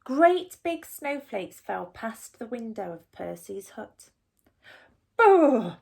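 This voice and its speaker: Slow, animated woman reading a children's story. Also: fairly high pitch, creaky, breathy edge. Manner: animated, deliberate, with asides to the listeners. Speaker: storyteller reading a children's picture book aloud. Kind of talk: expressive read-aloud for young children.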